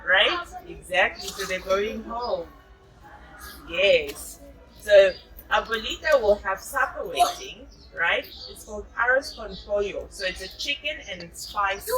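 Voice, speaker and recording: Bright South African woman reading to children, unhurried. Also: medium pitch, animated, distant mic, poor audio. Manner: encouraging, repeats and confirms the children's answers. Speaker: storyteller reading to young children. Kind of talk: read-aloud of a picture book with explanations to children.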